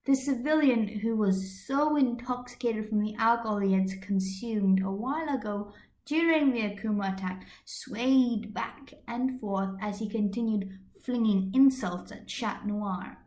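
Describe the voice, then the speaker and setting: A woman narrates a story in an amateur reading. Her voice is fairly high and she goes slowly. The room is audible around her computer microphone. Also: darkish tone. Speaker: amateur podfic reader. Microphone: computer's built-in microphone. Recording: roomy computer microphone recording.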